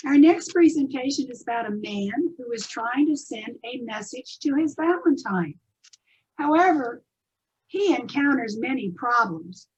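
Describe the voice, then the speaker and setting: A woman's voice: fairly high pitch, slightly rough. A woman presenter giving scripted introductions to stories. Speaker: presenter introducing readers theatre pieces. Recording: dull lo-fi recording.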